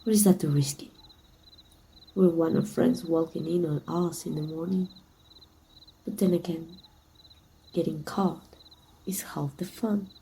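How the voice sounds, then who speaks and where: A woman from Mexico voicing a teasing, tender female villain in an intimate ASMR roleplay, soft and breathy with some whispering. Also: low voice, fairly even intonation, measured pace, long pauses, slight background noise, low-quality recording. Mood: flirtatious, teasing.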